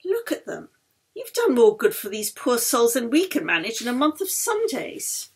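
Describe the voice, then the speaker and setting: A woman's voice: fairly high pitch, wildly swooping pitch, moderate pace, slightly rough, heavily acted. A woman voicing a courteous male hospital doctor, earnest and effusive, in an audiobook. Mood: delighted, admiring.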